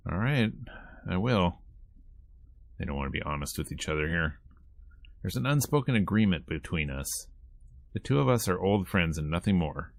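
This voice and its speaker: Low voiced dude reading visual novel lines n tossing in wry comments, dry close sound. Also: male voice, sing-song, a little fast, gravelly, no echo. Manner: breaks off into wry asides and reactions. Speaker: streamer playing and reading a visual novel aloud. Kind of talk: reading game dialogue aloud with casual commentary.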